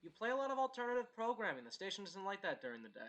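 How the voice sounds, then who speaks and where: Bright-voiced, patient radio producer. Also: male voice, sits high, sing-song, very fast. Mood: chiding, relenting. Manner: gently chiding, supportive.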